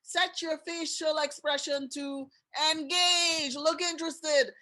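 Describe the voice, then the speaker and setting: A woman's voice: high voice, fairly even intonation, slow, sharp tone. A woman with a Trinidadian accent, hosting a webinar over a video call in a chatty way and asking her guests questions. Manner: chatty, laughs often, asks questions. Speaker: webinar host.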